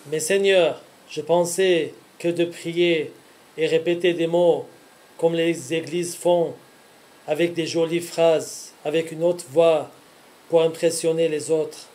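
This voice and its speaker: A man speaking earnestly in short, deliberate phrases, as a religious host giving scripted devotional teaching. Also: fairly high pitch, steady tone, a little mellow.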